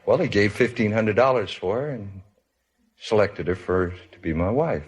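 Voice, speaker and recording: A man's voice: lowish voice, lively intonation, normal speed. An American man giving plain, brief, matter-of-fact answers as a quiz show contestant. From United States. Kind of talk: unscripted interview answers.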